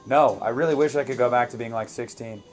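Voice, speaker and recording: A man in his twenties co-hosting a live movie commentary, chatting casually with viewers in a relaxed way. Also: medium pitch, quick pace, soft background music. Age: 20s. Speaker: co-host of a movie commentary channel.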